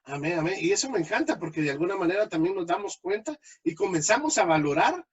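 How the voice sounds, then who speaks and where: High husky-voiced man hosting an interview. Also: sing-song, fast talker, muffled recording. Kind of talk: conversational interview.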